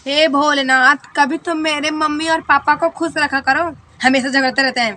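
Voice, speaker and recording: An earnest little child pleads softly in a high, bright voice, as a woman plays her. The child sounds plaintive and sincere. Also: fairly even intonation, normal speed. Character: small child praying for quarreling parents.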